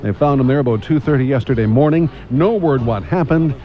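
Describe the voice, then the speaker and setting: A man's voice: mid-range voice, brisk, dull audio, soft background music. A man with a Canadian accent anchoring a morning radio newscast in a conversational, punchy style with clipped phrases.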